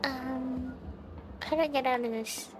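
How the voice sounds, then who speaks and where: A woman with a high-pitched voice chats casually on a game livestream. She thinks aloud and often puts questions to her viewers. Game music plays clearly behind her. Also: fairly even intonation, a little slow. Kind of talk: casual chat while playing a game for viewers. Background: video game music.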